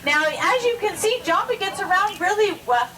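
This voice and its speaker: Female zoo educator, high-pitched, informal live presenting style, picked up by a distant mic. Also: animated, moderate pace, bright, forceful tone. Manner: explanatory, informal, addresses onlookers directly. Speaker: zoo animal show presenter.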